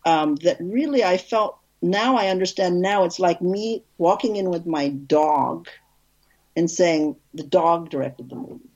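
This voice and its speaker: A husky, fairly low-voiced woman recounting her career as a director in an easygoing, rambling way. She restarts sentences often and talks with animated emphasis. The recording sounds dull and lo-fi. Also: a little slow. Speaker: film director, interview guest. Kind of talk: casual interview storytelling.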